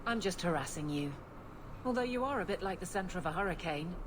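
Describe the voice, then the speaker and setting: A woman's voice: lowish voice, expressive, fast talker, crowd noise, natural voice. An actress playing a brusque, dutiful woman captain of the city guard in a video game, speaking in a dry, clipped, wry way. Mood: wry, frank.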